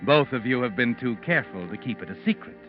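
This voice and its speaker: A man's voice: mid-range voice, expressive, lightly acted. Male actor, all-knowing taunting mystery narrator for 1940s radio, insinuating, menacing, music behind, muffled old sound. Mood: sinister, knowing. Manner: insinuating, deliberate, addresses the culprit as you.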